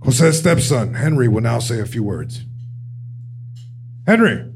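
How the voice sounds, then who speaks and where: Actor as solemn male priest, middle pitch, measured eulogy delivery, faint background hum. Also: sing-song, vocal fry, a little mellow. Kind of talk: script table read.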